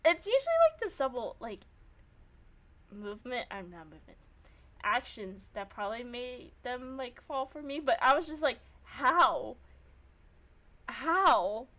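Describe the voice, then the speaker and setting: A woman's voice: a little high, sing-song, normal speed, fairly bright tone. A young woman streamer giving casual game commentary and reading narration aloud, self-deprecating and free with swearing.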